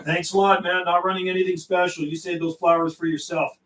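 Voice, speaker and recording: A man's voice: sits high, level tone, a little fast, background noise. A friendly, informal man from Canada doing casual live radio chat and call-outs as a CB operator. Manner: friendly, informal, repeats call signs and channel numbers.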